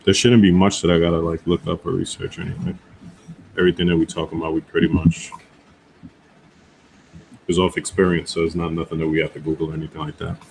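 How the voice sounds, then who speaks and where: Deep-voiced American man, slangy chat. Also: flat delivery, quick pace, vocal fry, long pauses, a little mellow, slight background noise, poor audio. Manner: slangy, informal. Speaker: podcast guest. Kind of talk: casual chat.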